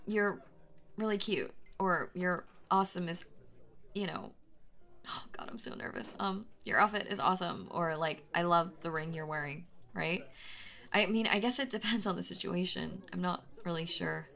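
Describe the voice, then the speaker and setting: A woman's voice: fairly low pitch, moderate pace, gravelly, a bit bright. A woman playing a very shy, anxious young stranger in an intimate audio roleplay, rambling and stammering as she talks to the listener. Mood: awkward, flustered. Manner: hesitant, stammering, nervously giggly, self-doubting.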